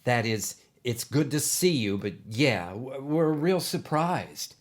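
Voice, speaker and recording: A man's voice: mid-range voice, lively intonation, slow pace, pitched above the performer's own voice. A man voicing a flustered, sheepish man in his thirties, hesitant and stammering.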